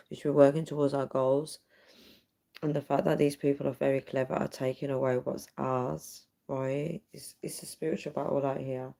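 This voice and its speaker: A woman talks in a low, hushed, gravelly voice that is almost gone from hoarseness. Her tone is dark and soft. She chats earnestly and a little wearily with her live viewers, speaking quietly so she won't wake anyone. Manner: earnest, drifting, tired. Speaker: livestreamer. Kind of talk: casual live chat reading viewer comments. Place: her home at night with others asleep. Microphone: built-in device mic, no dedicated microphone.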